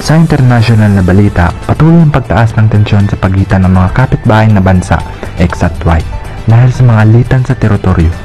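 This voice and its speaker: Low, mellow man reading news. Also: animated, a little fast, soft background music. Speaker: news reporter.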